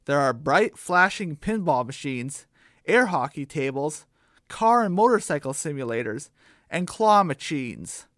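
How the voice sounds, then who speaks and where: A man tells a scripted comic story as narrator. His voice is on the high side and his delivery is slow, with a dry sound free of room echo. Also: big pitch swings, fairly bright tone.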